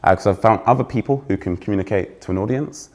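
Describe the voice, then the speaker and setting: Young English male keynote speaker, fairly posh accent, loud, energetic, teases the crowd. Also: on the low side, fast talker. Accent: trained-out Essex, now fairly posh English. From England. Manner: energetic, joking with the audience, self-deprecating. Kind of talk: conference keynote talk. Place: stage before a live audience.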